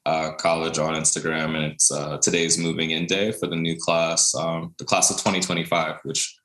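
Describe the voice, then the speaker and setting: Deep-voiced Brooklyn man in an echoey room. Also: flat delivery, normal speed, fairly bright tone. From Brooklyn, New York. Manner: chatty, many fillers, laughs often. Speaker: guest, contemporary dancer. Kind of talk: casual interview storytelling.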